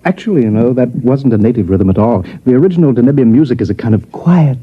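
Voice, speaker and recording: A man's voice: medium pitch, animated, fast talker, breathy edge. An actor playing a genial, talkative counterfeiter in a 1950s radio science fiction drama, with an urbane, showman's manner. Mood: chatty, explaining. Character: genial, talkative male counterfeiter living among aliens. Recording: dull, muffled vintage radio recording.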